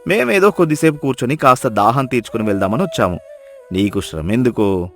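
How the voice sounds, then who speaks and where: A man voicing a hearty, friendly visiting village in-law in a moral story, loud and exclaiming in a folksy way. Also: medium pitch, lively intonation, fairly quick, soft background music. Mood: friendly, grateful. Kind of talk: storybook dialogue acting.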